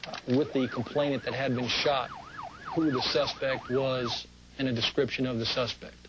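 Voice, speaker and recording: A man's voice: mid-range voice, steady tone, moderate pace. An American man, a police officer, giving a formal account in an interview. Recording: dull audio, sirens and noise behind.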